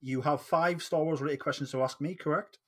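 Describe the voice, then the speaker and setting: A man's voice: on the high side, fairly even intonation, a little fast. A man with a Geordie accent from north-east England, hosting a podcast in a casual, chatty interview style. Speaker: podcast host.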